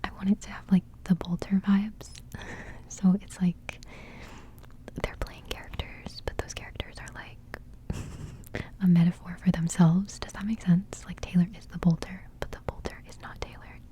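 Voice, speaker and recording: A young woman whispering a relaxed music reaction in ASMR style, giggling softly and rambling with plenty of fillers. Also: lowish voice, normal speed. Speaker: ASMR creator reviewing music.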